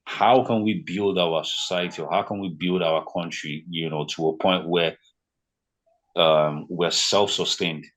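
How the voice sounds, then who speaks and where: Brief: man in his thirties from Nigeria, Yoruba first language, very low voice, easygoing chatty guest. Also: unhurried. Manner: relaxed, lots of fillers like "you know" and "man". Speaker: podcast guest. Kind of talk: casual conversation.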